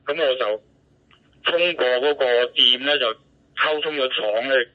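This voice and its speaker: A man with a Hong Kong Cantonese accent chatting casually as an amateur operator over a two-way digital radio. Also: measured pace. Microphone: radio transceiver microphone. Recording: muffled low-fidelity digital radio transmission.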